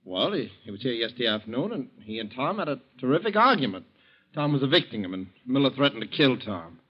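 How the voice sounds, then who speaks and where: A sly young man who insinuates in hesitant, falsely caring phrases. His pitch moves about restlessly in a 1940s drama manner, and the old recording is dull. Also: big pitch swings, fast. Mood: brisk, nervy. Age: young adult. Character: scheming, weak young grown son, slyly insinuating. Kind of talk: 1940s radio acting.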